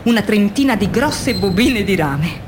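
A woman's voice: mid-range voice, expressive, unhurried, natural voice. An actress playing an earnest, weary woman factory worker in a radio drama monologue, reflective and intense in a theatrical way. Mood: intense, urgent. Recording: noisy radio drama with background ambience.

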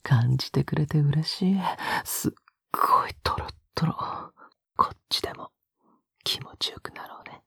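A man playing a tender, teasing young male lover in an intimate romantic audio drama, breathy and often whispering in a soft, coaxing way close to the microphone. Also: on the high side, moderate pace, no echo, full character voice. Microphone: close microphone, dry sound.

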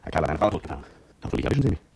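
An actor playing an intimidated petrol station attendant and bitter ex-soldier, confessing in a brooding radio drama monologue with a husky voice. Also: male voice, very deep voice, sing-song, racing, a little mellow, dry recording, exaggerated voice. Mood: resigned, self-reproachful. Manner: brooding, confessional first-person narration.

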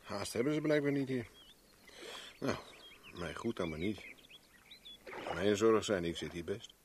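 An actor playing a flippant, flirtatious private investigator in a radio drama, with wry, teasing banter. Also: male voice, lowish voice, big pitch swings, measured pace, heavy background noise. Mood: resigned. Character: flippant, flirtatious male private investigator, world-weary charmer.

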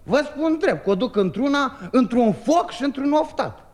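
Sly jolly peasant trickster lad, high bouncy voice, rhyming and chuckling. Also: male voice. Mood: wistful. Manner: lively, boastful, chuckling rhymed telling. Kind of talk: 1950s radio drama, rhymed storytelling.

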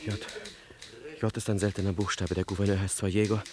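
An actor playing an anguished young revolutionary who poses as a secretary, urgent and halting, in a German radio drama. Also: male voice, fairly low pitch, little pitch movement, noisy background, close to an everyday voice. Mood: desperate, agitated. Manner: urgent, halting, repeating himself when agitated.

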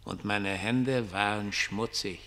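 An actor in a German radio play playing a rambling, irreverent homeless dreamer with a theatrical, drawn-out storytelling manner. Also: male voice, fairly low pitch, pushed and bright, heavily acted. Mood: dreamy, storytelling. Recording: long reverberant hall sound.

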